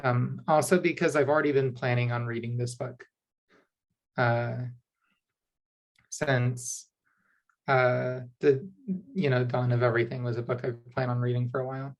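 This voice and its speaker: A woman's voice: rumbling low, fairly even intonation, moderate pace, pauses often, low-quality recording. Young woman student, loose chatty intro over a video call, keeps rephrasing herself. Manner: rambling, self-correcting, chatty. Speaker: graphic design student.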